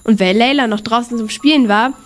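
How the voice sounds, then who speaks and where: A woman's voice: a little high, a little fast, close to an everyday voice. A female performer playing a boastful young woman survivor in an amateur audio drama.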